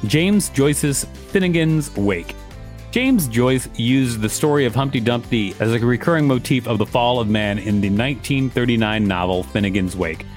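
A man's voice: medium pitch, lively intonation, normal speed, faint music behind. A man co-hosting a podcast, reading an encyclopedia article aloud and adding casual commentary. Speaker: podcast co-host.

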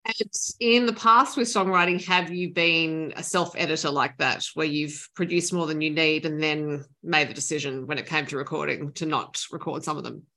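Bright-voiced woman interviewer. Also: low voice, fairly even intonation. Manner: measured, thoughtful questions. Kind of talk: radio-style arts interview.

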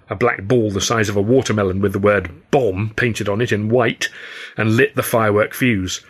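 A man reading first-person fiction as an expressive audiobook narrator, acting out the dialogue as he goes. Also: on the low side. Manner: expressive storytelling with acted dialogue. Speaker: author reading own novel.

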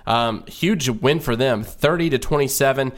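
A man's voice: mid-range voice, moderate pace. A man co-hosting a casual sports podcast, chatting in an easygoing, laid-back way. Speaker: podcast co-host.

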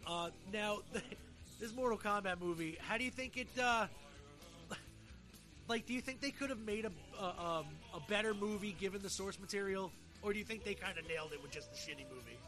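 A man's voice: high-pitched, brisk, pushed and bright, soft background music. A man hosting a podcast in casual, colloquial, slangy chat. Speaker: podcast host.

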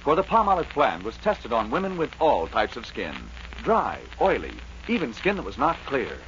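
Animated man reading a 1940s radio ad. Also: mid-range voice, normal speed. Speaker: commercial announcer. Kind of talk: 1940s radio advertising read, scripted. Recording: muffled 1940s radio with hiss.

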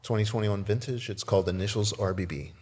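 A man's voice: fairly low pitch, fairly even intonation, quick pace, a little mellow, husky. A man from South Texas speaking casually as an interview guest, easygoing and joking, feeling his way through his answers. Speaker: podcast guest, winemaker.

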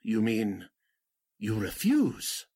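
A sinister cult leader from an old-style radio drama, played by a man. He speaks slowly and formally, with theatrical swings of pitch. The voice is slightly rough rather than clean. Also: huge pitch swings, mellow, full character voice. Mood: urgent, pleading. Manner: formal, theatrical, commanding or pleading. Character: sinister, crooked male cult leader and fake medium. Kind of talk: 1940s radio drama acting.

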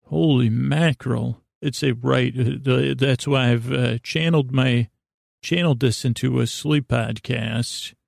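A man with a gravelly, fry-laden voice hosts a sleep podcast in a dry, close recording. He meanders through a comedic monologue, stopping and drifting off mid-thought. His pitch sits in an ordinary male range. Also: fairly even intonation, no room sound. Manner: meandering, halting, easily distracted, trailing off. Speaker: podcast host. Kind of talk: rambling comedic bedtime monologue.

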